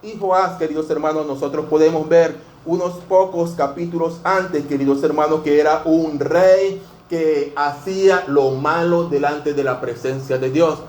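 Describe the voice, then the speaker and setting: A man's voice: a little high, steady tone, unhurried, darkish tone. A man in his fifties with a Venezuelan accent, preaching a sermon in earnest and addressing his congregation again and again as dear brothers. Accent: Venezuelan Spanish. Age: 50s. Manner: earnest, fills every phrase with "dear brothers". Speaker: pastor. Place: church sanctuary. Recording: echoey church room, faint noise.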